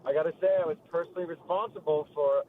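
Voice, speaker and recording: A man calling in to a radio sports show, a loud and boastful fan. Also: on the high side, fairly even intonation, fast talker. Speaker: caller. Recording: tinny phone line with faint noise.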